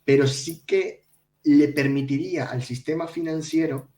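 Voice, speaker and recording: A man co-hosting a podcast in conversational financial news discussion, explaining things haltingly with repeated words. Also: fairly high pitch, fairly even intonation, mellow. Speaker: podcast co-host. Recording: roomy echo, distant from mic.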